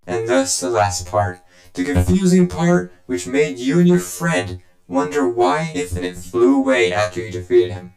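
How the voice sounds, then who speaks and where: An actor voicing a scheming, vengeful game villain who tells his story in a deliberate, condescending, smug way. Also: medium pitch, roomy sound, low-quality recording. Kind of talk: dramatic video game cutscene monologue.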